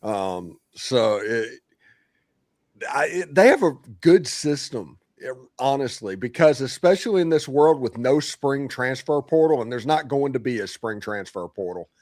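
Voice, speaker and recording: A man hosting a casual sports podcast, telling animated, joking stories. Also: medium pitch, a little slow, no echo, studio quality. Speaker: podcast host.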